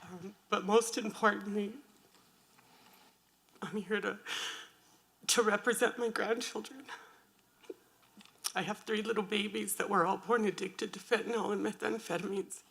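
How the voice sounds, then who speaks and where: A woman with grandchildren, a peer support worker, introducing herself on a panel in a loud, emotional, earnest way. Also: medium pitch, fairly even intonation, a little slow, creaky, long pauses. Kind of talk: panel introduction at a public forum.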